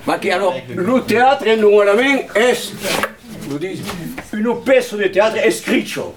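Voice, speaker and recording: Animated Southern French man lecturing on theatre. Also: a little slow, echoey room, background noise. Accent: Southern French, Montpellier. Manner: animated, theatrical, swings into booming bursts. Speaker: theatre teacher. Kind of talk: lively talk on theatre to a group.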